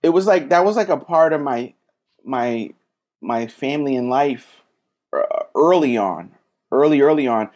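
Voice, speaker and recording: Thoughtful man, pausing with fillers. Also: mid-range voice, lively intonation, darkish tone. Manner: thoughtful, hesitant, many fillers. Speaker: guest, social worker. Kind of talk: casual conversation.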